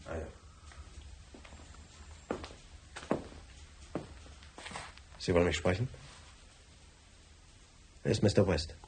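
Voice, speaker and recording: Man playing a deep-voiced, brusque businessman. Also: normal speed, close to an everyday voice, low-quality recording. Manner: curt, formal, bossy. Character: brusque, tight-fisted, wealthy businessman client. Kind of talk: radio drama acting.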